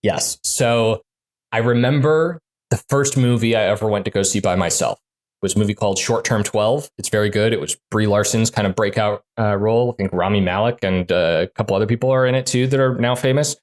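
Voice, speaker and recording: A man who designs games, talking casually in an interview, full of fillers and quick restarts. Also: on the low side. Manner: chatty, many fillers and restarts. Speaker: game designer guest.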